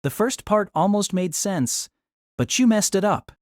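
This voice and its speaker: A man's voice: high voice, animated, measured pace, no echo, studio quality. An actor playing a wry, exasperated young man, delivering wordy first-person story narration and dialogue in a deliberating, self-conscious way.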